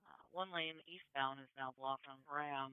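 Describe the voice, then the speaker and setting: A man's voice: medium pitch, fairly even intonation, moderate pace, clean tone, dry recording. A man working as a police dispatcher, reading out call bulletins over a two-way radio. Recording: tinny narrowband police radio feed.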